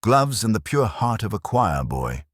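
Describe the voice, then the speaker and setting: A man's voice: lowish voice, big pitch swings, normal speed, no echo, clean studio sound, voice pushed up. A man playing a confident, wisecracking private investigator's assistant in an audiobook, wry and punchy.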